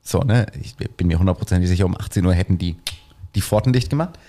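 A man's voice: low-pitched, quick pace. A man casually telling a long personal anecdote and acting out the voices of the people in it. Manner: animated anecdote-telling with acted-out quotes. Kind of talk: casual storytelling chat.

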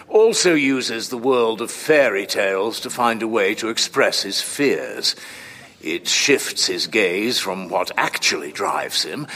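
An actor playing a courteous, long-winded, analytical doctor in a formal, measured audio drama performance. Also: male voice, mid-range voice, sharp tone, husky, big-room sound, full character voice. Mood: analytical, deliberate. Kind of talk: full-cast audio drama acting.

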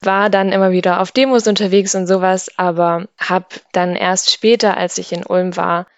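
A woman's voice: on the low side, fairly even intonation, normal speed, clean tone, dry recording, dull audio. A woman in her twenties talking casually as a guest on a discussion panel. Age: 20s. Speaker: panel guest, city councillor. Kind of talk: casual interview talk.

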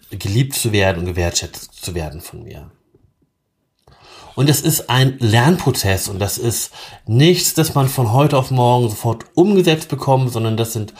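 A middle-aged man hosts his own couples-therapy podcast alone, speaking in a calm, explanatory way. His voice sits in an ordinary middle range, and he pauses with small filler sounds as he thinks. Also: mid-range voice. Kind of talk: solo podcast monologue.

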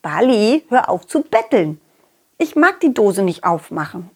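A woman voicing a confident, loyal, cheerful dog who tells stories to children in an excitable, childlike way, as in an audio play. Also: mid-range voice, unhurried, husky, exaggerated voice. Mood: disgusted, reluctant. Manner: excitable, childlike, speaking directly to child listeners. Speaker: puppeteer and storyteller.

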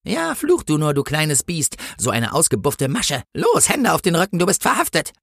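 A man's voice: a little high, wildly swooping pitch, normal speed, gravelly, a bit bright, husky, dry recording, studio quality, voice pushed up, full character voice. A man voicing a bullying, officious female traffic warden in a comic Christmas story, with a scolding, sneering manner. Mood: gloating, aggressive.

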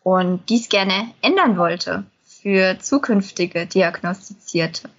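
A young woman hosts a conversational podcast. Her medium-pitched voice is husky, and the sound is dull and muffled. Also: takes it slowly. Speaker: podcast host, self-help group member.

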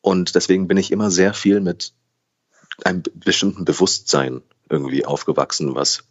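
A man's voice: low-pitched, moderate pace, dull audio. A man in his late twenties, a guest in an interview, talking thoughtfully and often correcting himself mid-sentence. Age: 20s. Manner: thoughtful, restarts and self-corrections. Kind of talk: conversational interview.

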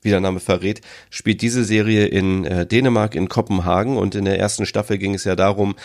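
A man's voice: lowish voice, fairly even intonation, a little fast. A man with a cold, hosting a casual solo podcast and filling gaps with many ums. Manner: hesitant, many ums and uhs. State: has a cold. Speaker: podcast host.

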